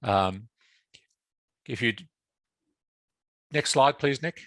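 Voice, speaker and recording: A man's voice: medium pitch, drawn-out words, a bit bright, no room sound. A man with an Australian accent giving an informal presentation, hesitant and often repeating his words. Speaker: guest speaker, presenter.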